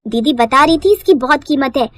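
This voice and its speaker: Woman playing a high-voiced naive little girl. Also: animated, a little fast, no echo, clean studio sound. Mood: eager, innocent. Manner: eager, guileless. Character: naive, chatty little girl, proud younger sister. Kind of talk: animated moral-story cartoon dialogue.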